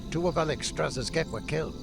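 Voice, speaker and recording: A gravelly, fairly high male voice from an actor plays a wary fantasy councillor. He talks gravely and formally over loud cutscene music. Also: fast. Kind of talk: scripted fantasy game cutscene acting.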